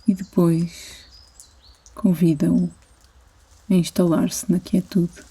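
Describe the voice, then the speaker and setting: A woman with a European Portuguese accent guides a sleep meditation as a yoga instructor, soothing and gentle, with long pauses between phrases. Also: on the low side, flat delivery, breathy edge.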